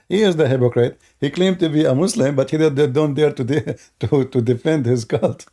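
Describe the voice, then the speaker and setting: A man's voice: on the high side, unhurried, dark tone. A man hosting a live call-in stream, talking emphatically in non-native grammar and laughing as he mocks opponents. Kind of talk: casual live call-in chat.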